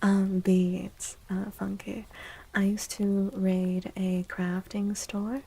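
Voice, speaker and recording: A woman speaking softly and breathily, often near a whisper, in a gentle ASMR livestream style. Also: medium pitch, little pitch movement, takes it slowly, vocal fry, slightly rough, poor audio. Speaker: streamer and tarot reader. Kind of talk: soft-spoken ASMR livestream chat.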